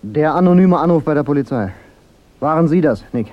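An actor playing a wry, unflappable private detective in a German radio drama. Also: male voice, fairly high pitch, animated, brisk, phone-quality sound, close to an everyday voice. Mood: cool under pressure. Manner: dry, sardonic.

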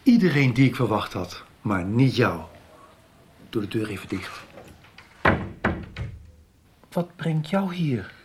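A man's voice: mid-range voice, very animated, unhurried, noisy background. An actor playing a guarded, troubled family man in a radio soap, talking hesitantly and evasively. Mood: wary.

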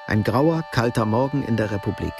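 A man narrating a radio crime drama in a measured, matter-of-fact way. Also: on the low side, lively intonation, over music. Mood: sober. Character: sober third-person narrator of a crime story.

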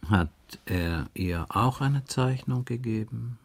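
A man's voice: mid-range voice, takes it slowly, gravelly. A man playing a patient, dry investigator in a radio crime drama, asking terse, probing questions and musing as he goes. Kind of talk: 1980s German radio drama dialogue.

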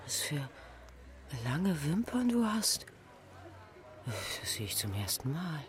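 A woman's voice: sits low, sing-song, takes it slowly, a little hiss or hum, exaggerated voice. A woman acting a scornful, capricious Parisian prostitute of 1920 in a German radio play. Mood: brooding. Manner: mocking, capricious.

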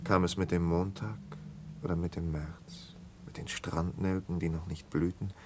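An actor playing a brooding, haunted killer who confesses in halting fragments in a literary radio drama, sometimes close to whispering. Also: male voice, sits low, little pitch movement, background noise, natural voice. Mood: bitter, haunted. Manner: halting, intense, dropping into near-whispers.

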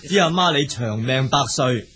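An actor playing an affable, dutiful grown son in a radio drama, reassuring and easygoing. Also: male voice, mid-range voice, animated, normal speed, a bit bright, lightly acted.

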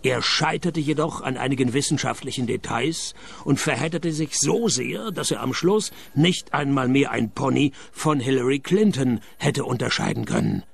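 An actor playing a sardonic private detective who narrates a radio play in a dry, deadpan, mocking tone. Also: male voice, mid-range voice, normal speed, vocal fry, husky, full character voice. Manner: deadpan, sarcastic.

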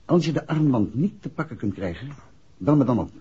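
An actor playing a composed, authoritative gentleman detective in a 1960s radio drama, speaking in a measured way. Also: medium pitch, lively intonation, breathy edge. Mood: measured, businesslike. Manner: measured, deliberate. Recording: muffled old 1960s radio recording.

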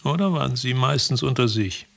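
An actor playing a cool, condescending army major and psychiatrist in a radio drama, formal, clipped and probing in manner. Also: male voice, medium pitch, a little fast, gravelly, fairly bright tone. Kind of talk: German radio drama acting.

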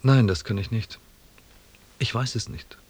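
An actor playing a reflective expedition scribe who narrates a radio drama in a measured, restrained and quietly melancholy way. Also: male voice, lowish voice, slightly rough. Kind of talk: scripted first-person narration in a German radio drama.

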